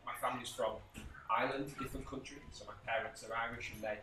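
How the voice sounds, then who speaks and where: A man in his thirties from the north of England, a teacher talking casually, quick and repeating words as he thinks. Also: mid-range voice, fairly even intonation. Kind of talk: casual chat. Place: classroom, indoors. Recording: echoey classroom from a distance, noise.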